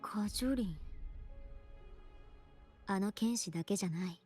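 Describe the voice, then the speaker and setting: Woman acting a brisk, thoughtful young game heroine. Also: medium pitch, darkish tone, lightly acted. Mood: pensive. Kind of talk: Japanese video game voice acting. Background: game music.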